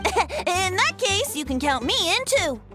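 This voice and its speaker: A woman's voice: very high voice, very animated, unhurried, full character voice. A woman voicing a dainty young male bard in a video game, playful and sly, with theatrical, flowery, teasing delivery. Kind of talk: animated video game voice acting. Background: music.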